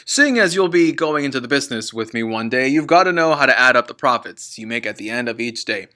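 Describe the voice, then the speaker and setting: A man voicing a boastful, crooked car-dealer father from a children's storybook, in a booming, smug and bossy manner. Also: animated, a little fast, pushed and bright. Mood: bossy, brisk. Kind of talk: children's storybook read-aloud.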